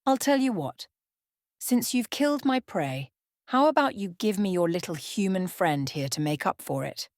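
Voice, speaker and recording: A woman's voice: mid-range voice, expressive, moderate pace, darkish tone, dry recording, close to an everyday voice. Cocky young male vampire for a storybook, played by a woman: sneering, taunting, dry close sound. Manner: smirking, taunting.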